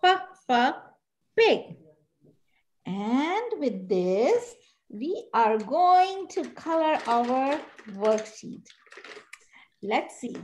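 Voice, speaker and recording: A middle-aged woman teaching an online preschool class on a video call, animated and coaxing, singing out animal sounds for the children. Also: high-pitched, extremely slow, pauses often, a little hiss or hum. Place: classroom-like room with posters, indoors.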